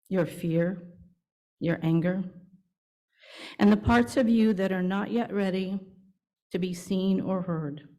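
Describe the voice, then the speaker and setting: A woman leading a church service, reading a welcome and announcements to the congregation in measured, deliberate phrases with pauses. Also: medium pitch, warm tone. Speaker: worship leader at a church service.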